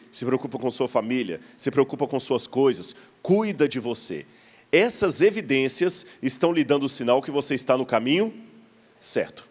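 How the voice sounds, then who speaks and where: A man with a Brazilian accent preaching in a conversational, explanatory way, posing rhetorical questions with a slight stammer. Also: medium pitch. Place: large church hall. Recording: long hall reverb.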